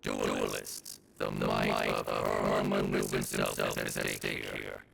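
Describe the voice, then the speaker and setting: A solemn master of ceremonies in a fantasy game, with an extremely low, gravelly voice. He proclaims each formal phrase in a grave, stately way, with loud music behind him. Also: level tone, slow pace, exaggerated voice. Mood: solemn, commanding. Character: solemn, commanding male officiant of an ancient rite.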